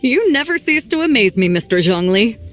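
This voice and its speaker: A confident, brash sea captain who talks casually and heartily. Her mid-range voice moves lively through each line. Game music plays faintly beneath. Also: a little high, moderate pace. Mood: hearty, casual. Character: bold confident woman ship captain. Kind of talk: video game voice acting.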